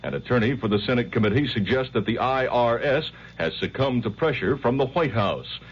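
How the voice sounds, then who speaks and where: A man's voice: mid-range voice, expressive. Man reading 1970s radio news. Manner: crisp, measured news delivery. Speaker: network news anchor. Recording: dull old radio broadcast with faint hiss.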